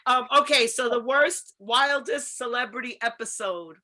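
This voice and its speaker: An American woman chatting and telling stories casually as a co-host, in an animated, slangy, expressive way. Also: a little high, moderate pace. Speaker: co-host of an online talk show. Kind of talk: casual chat and storytelling.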